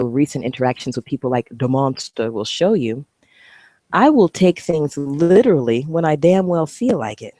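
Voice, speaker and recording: A woman's voice: low-pitched, warm tone, no room sound. A woman vlogger talking casually to the camera. She is blunt, swears freely and rambles into asides while clicking through her videos. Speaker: video blogger.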